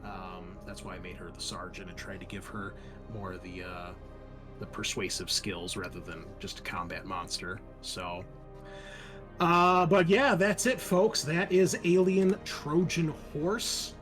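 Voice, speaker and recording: A man's voice: lowish voice, a little slow, fairly bright tone, faint music behind. Man hosting a tabletop game, rambling casually. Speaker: game master and host.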